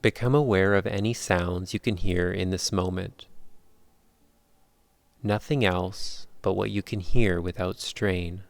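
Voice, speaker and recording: A man guides a meditation in a lowish, smooth voice. He speaks very slowly and calmly, leaving long pauses between measured instructions. The sound is dry and close. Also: dry recording. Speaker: yoga nidra meditation guide.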